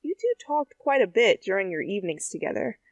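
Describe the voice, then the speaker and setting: A woman reading fan fiction aloud in an expressive, comedic style, with dramatic and conversational asides, close to the mic. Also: mid-range voice, fairly quick, vocal fry, dry recording, muffled recording. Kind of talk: expressive, comedic story narration. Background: faint background music.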